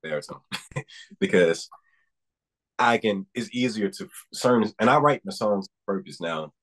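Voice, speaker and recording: A man's voice: fairly low pitch, expressive, unhurried, fairly bright tone, rough recording. A young man, a guest singer, talking earnestly over a video call from a room at home, rambling a bit with restarts. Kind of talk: casual interview. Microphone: video call webcam.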